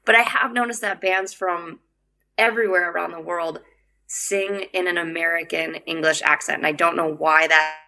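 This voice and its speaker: Young woman from the Upper Midwest with a Wisconsin accent, low-ish and gravelly, easy podcast-guest chatter. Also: steady tone, normal speed, pushed and bright, low-quality recording. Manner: chatty, laughs often, acts out quotes. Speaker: singer and guest. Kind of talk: casual podcast chat.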